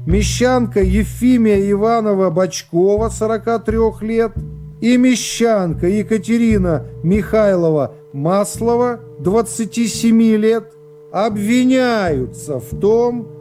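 A man playing a court secretary in a radio play, reading out an indictment in a formal, drawn-out official manner. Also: high voice, warm tone, soft background music. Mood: solemn, official. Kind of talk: radio play character acting.

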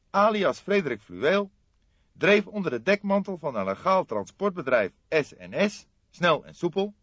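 An eager young male detective, played by a male narrator, speaks high and forcefully in an audiobook. He is stern and reproachful, with clipped, fierce delivery that swings widely in pitch. The sound is slightly dull. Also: no room sound, exaggerated voice. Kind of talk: audiobook character dialogue.

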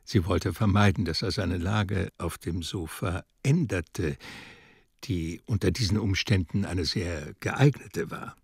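A man narrating a literary radio play in a measured, dramatic storytelling manner. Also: low voice, vocal fry, slightly rough, dry recording. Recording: studio production with music underneath. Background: music.

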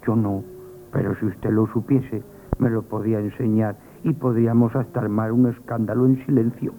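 A man's voice: on the low side, flat delivery, normal speed, warm tone, lightly acted. A man from Spain with a Spanish accent playing a timid, hesitant, comically frightened young working-class shop boy in a radio play. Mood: anxious, comic. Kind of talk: 1970s Spanish radio comedy theatre acting. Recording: tinny, band-limited old radio broadcast.

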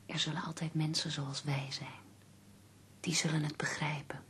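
A gentle young lover in an intimate radio play. Her voice is lowish, soft and breathy, soothing and devoted, over a noticeable hiss from the old recording. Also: level tone, moderate pace. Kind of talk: intimate radio drama acting.

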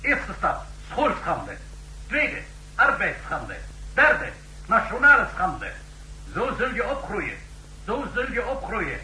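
Harsh male indoctrinator, high swooping pitch, chanting accusations, echoey old radio drama. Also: huge pitch swings, slow, pushed and bright, a little hiss or hum, exaggerated voice. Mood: harsh, commanding. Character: harsh indoctrinating voice on a conditioning tape. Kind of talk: 1960s radio drama acting. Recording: echoey, faintly humming old radio recording.

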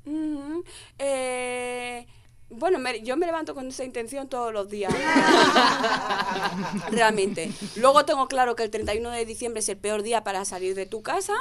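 A woman's voice: on the high side, measured pace, crowd noise. A woman guest chatting playfully on a comedy panel, slipping into other accents and speaking Spanish as a second language. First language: not Spanish-first, speaks a home dialect. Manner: playful, switches accents, bursts into sing-song. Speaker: guest actress. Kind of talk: casual panel chat.

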